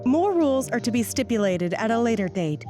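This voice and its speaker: A woman's voice: on the high side, very animated, fairly quick, mellow. A woman playing a chirpy, sinister announcer on a mental facility's orientation tape, with a polished, saccharine sales delivery. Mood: falsely cheerful. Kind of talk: scripted promotional video narration. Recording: music under the voice. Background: music.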